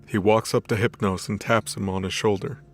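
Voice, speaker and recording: A man's voice: fairly low pitch, flat delivery, moderate pace. A man narrating a scripted dramatic story as the storyteller of an audio drama. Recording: voice over background music.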